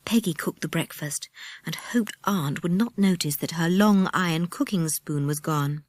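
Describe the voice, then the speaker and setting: A British woman narrating a children's storybook in an expressive, animated storytelling manner. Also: fairly low pitch, normal speed, dry recording. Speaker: audiobook narrator.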